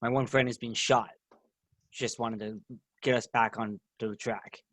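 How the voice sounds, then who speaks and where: A man voicing an indignant young male adventurer who complains in a hurried way. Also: medium pitch, fairly even intonation, a bit bright, no room sound. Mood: urgent.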